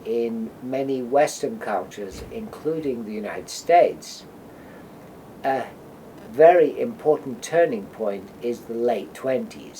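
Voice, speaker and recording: Slow, mellow-voiced male professor. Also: mid-range voice, slight background noise, low-quality recording. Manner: measured and explanatory, with pauses. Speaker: psychology professor. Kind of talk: documentary interview.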